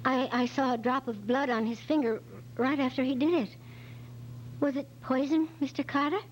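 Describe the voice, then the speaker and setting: A woman's voice: high voice, steady tone, moderate pace, natural voice. A woman playing a flustered, anxious secretary who hesitates and stammers as a witness in an amateur radio detective drama. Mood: uneasy. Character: nervous, flustered female secretary, evasive witness. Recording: dull, lo-fi recording with faint hum.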